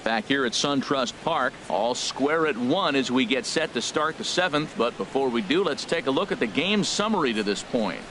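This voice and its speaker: Man acting as baseball play-by-play announcer, composed, professional, medium-pitched, sports video game, noisy ballpark behind. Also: expressive, normal speed, few pauses, a bit bright, natural voice. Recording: stadium noise behind the commentary.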